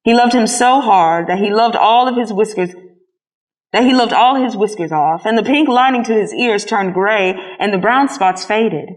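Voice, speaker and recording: A woman reading a children's story aloud as a scripted narration, in an expressive storytelling manner. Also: medium pitch, moderate pace, low-quality recording. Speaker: storybook reader.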